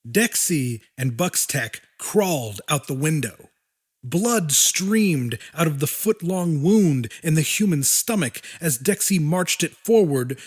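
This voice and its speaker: High-voiced man narrating dramatic fiction slowly. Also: husky. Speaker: audiobook narrator.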